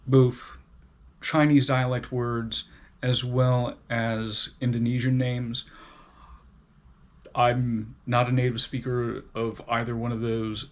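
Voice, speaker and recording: A man talking at an unhurried, deliberate pace as he reviews a book on his channel. His pitch sits in a middle range, and he pauses often to gather his thoughts. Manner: slow, careful, with frequent pauses and fillers. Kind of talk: casual spoken book review.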